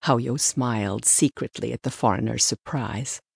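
A woman narrates an audiobook story from a script. Her voice is low for a woman and recorded dry and close, with little room sound. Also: normal speed, gravelly, a little mellow, slightly rough, dry recording.

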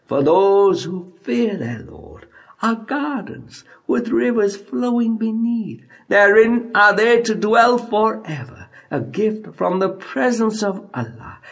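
A man reading scripture as an audiobook narrator in a solemn, declamatory style, in measured phrases broken by frequent pauses. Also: high-pitched, gravelly, a bit bright.

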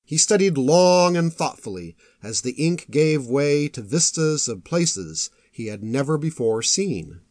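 Male storyteller for epic fantasy audiobook. Measured, literary, highly varied pitch, dry studio-like sound. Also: big pitch swings, a little mellow, dry recording. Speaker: audiobook narrator.

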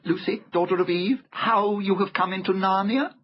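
A man's voice: high-pitched, normal speed, dull audio, a little hiss or hum, pitched above the performer's own voice, natural voice. A man voicing a timid, flustered and courteous male fawn in a storybook, with fussy, repetitive, over-polite speech. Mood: courteous, curious.

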